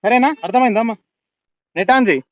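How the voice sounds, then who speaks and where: Urgent, fast, high-pitched Telangana Telugu man. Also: no room sound. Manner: insistent, repeats short questions and instructions. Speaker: police officer on a phone call. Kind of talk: urgent emergency phone conversation. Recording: close dry phone call recording.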